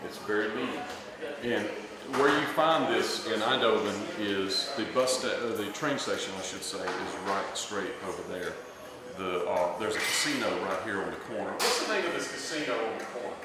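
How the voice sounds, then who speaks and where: Middle-aged male travel vlogger, lowish voice, friendly rambling tone, camera mic outdoors with clear traffic noise behind. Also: expressive, moderate pace. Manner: chatty, addresses viewers as friends. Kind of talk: casual walking-tour vlog. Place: outdoors in a city square. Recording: noisy outdoor street recording.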